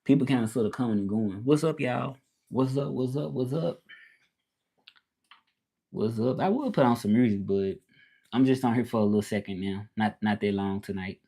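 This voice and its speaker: A man's voice: mid-range voice, moderate pace, warm tone. Southern US man, 30s, easygoing live-chat host who loops back on his points. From Southern United States. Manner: rambling, repeats himself, reads out and answers chat. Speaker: livestream host. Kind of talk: casual livestream chat with viewers.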